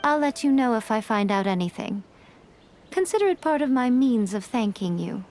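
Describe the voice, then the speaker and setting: A woman's voice: on the high side, lively intonation, normal speed, darkish tone, a little hiss or hum, natural voice. A woman voicing a sly, playful fox-spirit woman in a game, with a poised, teasing way of speaking. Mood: calm. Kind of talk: game dialogue.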